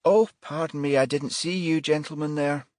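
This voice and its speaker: A man's voice: a little high, steady tone, moderate pace, darkish tone, no room sound, studio quality, voice pushed up, natural voice. A man voicing a cold, sardonic, condescending wife in her late forties for an audiobook. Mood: polite, brisk. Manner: condescending, dispassionate.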